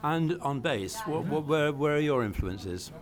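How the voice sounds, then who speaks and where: A man's voice: fairly high pitch, lively intonation, unhurried. A man interviewing a band at a music venue, asking hesitant, rambling questions. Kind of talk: casual interview. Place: music venue after a set. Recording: busy crowd chatter behind.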